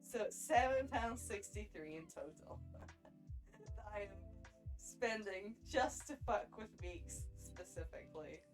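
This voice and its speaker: A woman's voice: mid-range voice, lively intonation, a little slow, faint music behind. A woman from Scotland with a mild Edinburgh accent, chatting casually on a livestream, giggling often and stammering over her restarts. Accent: mild Edinburgh Scottish. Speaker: streamer.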